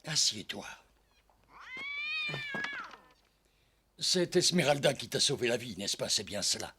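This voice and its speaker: A man's voice: medium pitch, sing-song, brisk, noisy background. A man playing an obsessed, menacing, manipulative priest in a French cartoon dub, with theatrical, impassioned delivery that swings from coaxing to threatening. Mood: scheming. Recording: long hall reverb, music behind.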